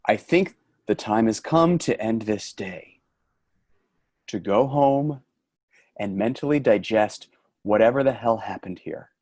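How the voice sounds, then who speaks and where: A man's voice: lowish voice, expressive, a little slow, no room sound, clean studio sound. Actor playing an earnest, fed-up office man. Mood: solemn, heartfelt. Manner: emotional, swinging from shouting to quiet grief. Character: David, fed-up grieving male office worker, earnest and sardonic. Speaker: actor in a staged reading. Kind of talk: dramatic play reading.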